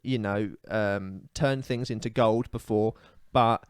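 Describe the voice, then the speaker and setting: A man's voice: lowish voice, steady tone, slow pace, clean tone, dry recording, clean studio sound. A man co-hosting a casual comedy podcast, giving strong opinions in a rambling, ranting way with many fillers. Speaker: podcast co-host.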